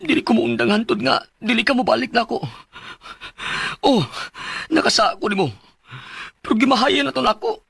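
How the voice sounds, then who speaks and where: An actor with a Cebuano Filipino accent playing an emotional, lovesick man in his thirties in a radio drama, with a husky voice. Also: very high-pitched, big pitch swings, fairly quick, long pauses, a bit bright, no echo, studio quality, heavily acted. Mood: desperate pleading. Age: 30s. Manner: emotional, pleading, swooping delivery. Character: Manuel, lovesick pleading man of thirty-two, repentant cheater. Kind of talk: Philippine radio drama acting.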